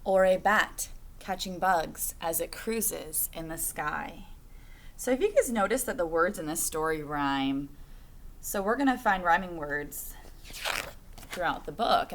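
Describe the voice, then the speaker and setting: Lively lower-voiced woman reading to children. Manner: instructive, addressing children with questions and expressive phrasing. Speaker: teacher reading a picture book aloud to children. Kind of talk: children's read-aloud with questions to young listeners.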